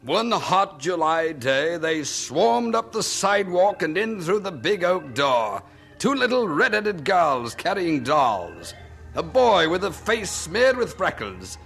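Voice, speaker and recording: Casting: male radio storyteller, very expressive, gravelly touches, dramatic scripted narration over light music. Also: sits high, slow pace.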